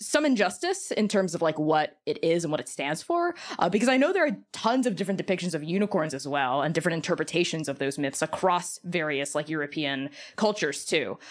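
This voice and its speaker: A woman guest on a podcast, explaining her opinions in casual chat peppered with "like" and "kind of". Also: low voice.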